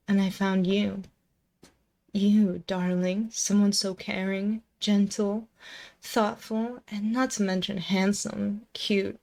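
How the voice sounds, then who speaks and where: A woman's voice: mid-range voice, gravelly, darkish tone. A woman playing a possessive, dominant and seductive female demon in an intimate, close-miked ASMR roleplay, commanding, teasing and doting. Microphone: close binaural microphone.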